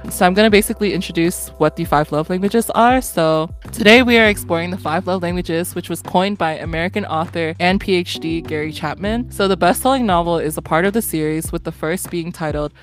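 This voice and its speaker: A woman's voice: on the low side, brisk, few pauses, faint music behind. Earnest young woman hosting a podcast. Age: 20s. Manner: warm, earnest, often laughing, many fillers. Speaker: podcast host. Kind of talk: casual podcast chat.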